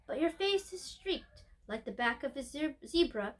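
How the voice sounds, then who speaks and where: Gentle grandmotherly figure for a storybook, acted by a woman; high-pitched, shaky, sweet, coaxing. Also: animated, normal speed. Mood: gently concerned. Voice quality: sweet, old, rather shaky. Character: very old gentle lady, kindly and motherly.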